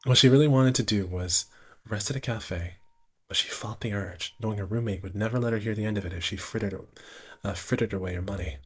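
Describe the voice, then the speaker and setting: Guy streamer reading a visual novel out loud, kinda low raspy voice, trips over words and repeats bits. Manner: halting read-aloud, often stumbling and repeating phrases. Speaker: live streamer.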